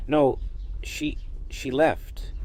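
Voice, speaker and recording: An actor playing a gentle, sincere, heartsick churchman in an audio drama. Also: mid-range voice, steady tone, very slow. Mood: downcast. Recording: noisy background behind the voice.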